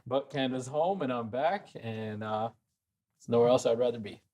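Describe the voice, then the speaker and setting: Homme originaire de Dubaï, invité DJ, ton décontracté et blagueur, voix moyenne. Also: male voice, medium pitch, moderate pace, a little mellow. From Dubai. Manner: easygoing, joking. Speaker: DJ and panel guest. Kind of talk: casual chat.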